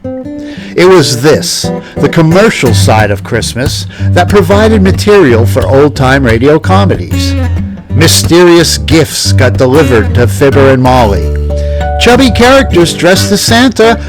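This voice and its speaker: Animated male narrator over guitar music. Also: fairly high pitch, a little slow, rough recording. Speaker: host, narrator. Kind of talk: scripted documentary narration. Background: plucked guitar music.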